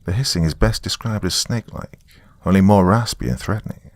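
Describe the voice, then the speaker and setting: A man reading scripted horror narration in a measured, descriptive way. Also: low-pitched, no echo, studio quality. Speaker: story narrator.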